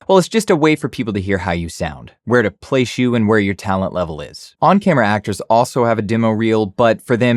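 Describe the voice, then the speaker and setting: A man's voice: on the low side, no room sound. A male voice actor hosting a scripted explainer, upbeat and instructive, with punchy emphasis on key words, recorded close on a studio mic. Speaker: voice actor and YouTube host. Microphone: studio condenser mic on an arm.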